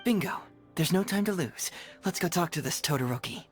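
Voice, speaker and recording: An actor playing a confident, playful young male detective in a video game, with a smooth, self-assured delivery. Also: a little high, expressive, brisk, lightly acted. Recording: music behind.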